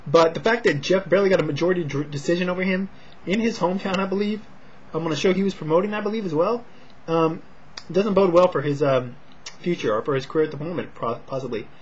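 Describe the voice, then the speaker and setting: An American man giving a casual, low-key and hesitant fight preview, not loud and slightly short of breath. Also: quick pace, slight background noise. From Sacramento, California, United States. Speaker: amateur boxing commentator and vlogger. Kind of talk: casual talk to camera, fight preview and prediction. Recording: dull, lo-fi home recording.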